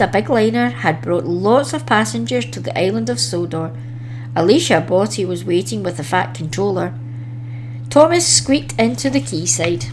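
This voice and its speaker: Woman, children's read-aloud, expressive narration, swooping pitch, noisy recording. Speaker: storyteller reading children's books aloud.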